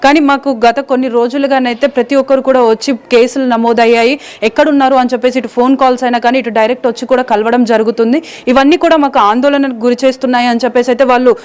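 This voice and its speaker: A woman's voice: a little high, steady tone, fast, darkish tone, smooth. A woman field reporter giving a live on-location report, explaining in a wordy, repetitive way.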